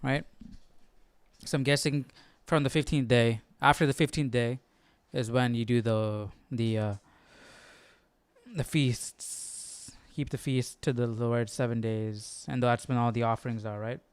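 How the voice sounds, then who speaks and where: Smooth-voiced man chatting casually, close and dry. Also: mid-range voice, fairly even intonation, measured pace, no echo. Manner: informal, musing, repeats himself. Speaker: host of a Bible study livestream. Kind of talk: casual chat, thinking aloud.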